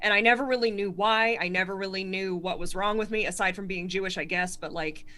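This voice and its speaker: Fast-talking woman with a Connecticut accent. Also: medium pitch, fairly even intonation, bright, forceful tone. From Connecticut, USA. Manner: fast, articulate, self-deprecating, laughs often. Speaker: stand-up comedian, guest. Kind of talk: casual interview.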